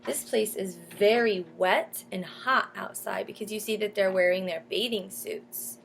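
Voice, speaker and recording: A woman teacher reading a picture book aloud to children, pausing to explain and ask questions. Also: medium pitch, creaky, slight background noise. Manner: explains and asks questions as if to young listeners. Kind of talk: storybook read-aloud with comments to children.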